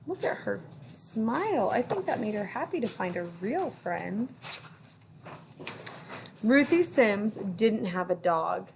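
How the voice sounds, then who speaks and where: Gentle teacher reading a picture book. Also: female voice, mid-range voice, sing-song, vocal fry, slight background noise. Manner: gentle, pauses to ask young listeners questions. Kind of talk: picture book read-aloud for children.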